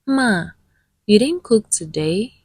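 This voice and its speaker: Woman playing gentle, hurt young daughter, higher-pitched. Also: expressive, slow, creaky, breathy edge, no echo, clean studio sound, full character voice. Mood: hurt, pleading. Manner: gentle, pleading.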